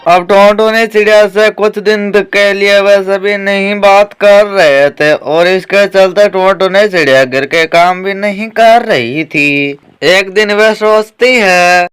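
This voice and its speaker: Cartoon story narrator, really crisp smooth voice, takes it slow. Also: medium pitch, a bit bright, faint music behind.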